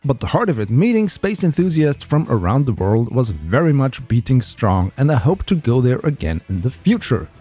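Lively man narrating a space vlog. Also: medium pitch, normal speed, few pauses, husky, faint music behind. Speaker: space video creator.

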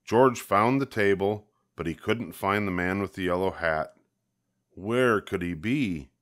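A man's voice: low voice, a little slow, a bit bright. An older man reading a children's picture book aloud, expressively, leaning on key words. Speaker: storybook reader.

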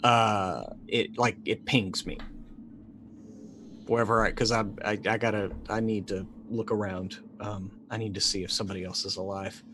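A middle-aged man playing a tabletop roleplaying game, improvising casually and haltingly as he thinks aloud. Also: fairly low pitch, steady tone, slight background noise.

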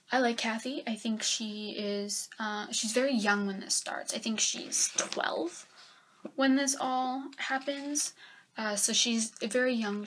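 A young woman giving a casual book review from her bedroom, chatty and rambling with lots of fillers, her voice a little raspy as she is getting sick. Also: medium pitch, a bit bright. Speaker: book vlogger. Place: bedroom, indoors. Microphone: webcam at desk.

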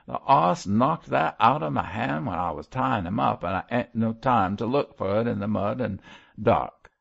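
A man voicing a surly, defiant Cockney murderer in an audiobook, gruff and rough-spoken. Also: on the low side, expressive, normal speed, highs cut off, heavily acted. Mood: grudging regret. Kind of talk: audiobook character voice.

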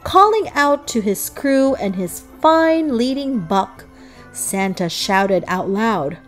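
Slow, animated woman storybook narrator. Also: a little high. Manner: expressive, rhythmic verse reading. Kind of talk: children's read-aloud, scripted narration. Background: music.

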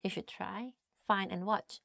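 A woman's voice: medium pitch, fairly even intonation, measured pace, gravelly, a bit bright, no room sound, studio quality. A young woman with a Thai accent from Thailand, teaching in a casual chat to camera and often saying "like". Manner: chatty, says "like" often, reads Thai phrases then translates. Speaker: language teacher. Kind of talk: casual language lesson to camera. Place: indoor studio, plain backdrop.

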